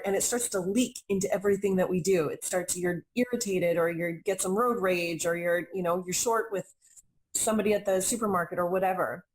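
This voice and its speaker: A woman's voice: mid-range voice, a little mellow, low-quality recording. A young adult woman speaking as a guest in an interview, earnest and reflective, often repeating phrases for emphasis. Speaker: intuitive reader and healer, guest. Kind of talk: conversational interview.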